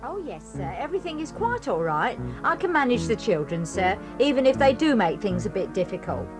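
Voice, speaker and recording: An actress playing a spiteful, sour working-class housekeeper who threatens the children and talks with rough, ungrammatical speech. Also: female voice, fairly high pitch, sing-song, fairly quick, over music. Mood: fawning. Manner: sharp and threatening, then fawning. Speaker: Mrs Stick. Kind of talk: 1980s audio drama acting. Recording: dry studio.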